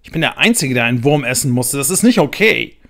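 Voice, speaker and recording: A man voicing an indignant young boy who loudly insists the others must eat a worm too. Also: medium pitch, sing-song, quick pace, creaky. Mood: angry.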